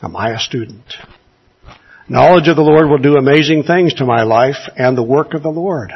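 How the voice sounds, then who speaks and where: A man's voice: medium pitch, normal speed, breathy edge, muffled recording. A man preaching a sermon in an earnest, exhorting way, asking his listeners rhetorical questions.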